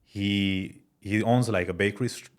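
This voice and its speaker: A young man from France, a guest entrepreneur, talking about his life in a relaxed interview full of fillers like "bro" and "man.". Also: fairly low pitch, normal speed. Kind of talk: casual interview chat.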